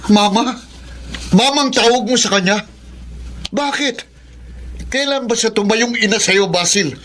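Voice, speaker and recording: A bitter, high-pitched Filipino eldest son. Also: male voice, unhurried, a bit bright, slight background noise. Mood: bitter, angry. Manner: cold, confrontational. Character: bitter, unforgiving eldest son, a proud engineer. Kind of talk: Tagalog radio drama acting.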